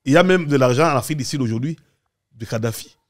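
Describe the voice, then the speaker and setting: A man's voice: medium pitch, animated, fast. A man speaking as a guest in an opinionated political discussion, assertively explaining with examples.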